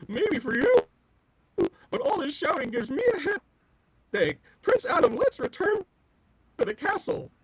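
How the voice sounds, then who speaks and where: A timid talking tiger from a comic book, pitched way up high. The voice whines and stammers with wildly lively swings, forever nervous. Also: unhurried, pauses often, no room sound, low-quality recording. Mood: whiny, fearful. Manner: whining, stammering. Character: cowardly, whiny, timid talking tiger pet. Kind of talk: dramatic comic book table read.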